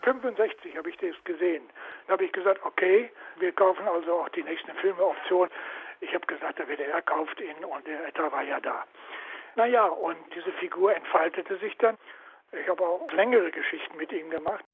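An older man quickly recalling past work in a rambling archive interview. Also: high-pitched, breathy edge, phone-quality sound.

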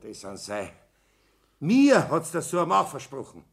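Blustering, cheated employee, loud Bavarian grumbler, drama delivery, slightly off-mic. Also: male voice, fairly high pitch, huge pitch swings, a little slow, vocal fry, husky, full character voice. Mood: outraged. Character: aggrieved, blustering company employee passed over for promotion. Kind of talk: radio drama acting.

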